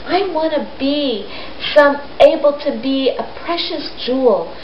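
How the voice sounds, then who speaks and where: A woman's voice: high-pitched, animated, unhurried. A woman voicing a humble, earnest young female pilgrim full of simple wonder in a children's story. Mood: yearning. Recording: roomy echo, dull sound, faint noise.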